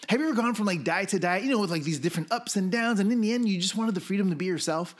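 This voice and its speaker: A man with a notably high voice hosts a casual health podcast. He talks in an upbeat, salesy way, leaning on "like" and "you know" as he goes. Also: expressive, very fast. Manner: upbeat, filler-heavy, salesy. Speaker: podcast host. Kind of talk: casual interview and promo.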